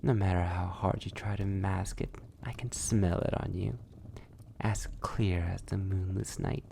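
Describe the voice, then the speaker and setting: A man's voice: low-pitched, fairly even intonation, unhurried, vocal fry, dark tone, slight background noise. A man playing a sadistic, possessive, centuries-old vampire in an intimate ASMR roleplay, silky and menacing, often dropping toward a whisper. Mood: predatory, coaxing.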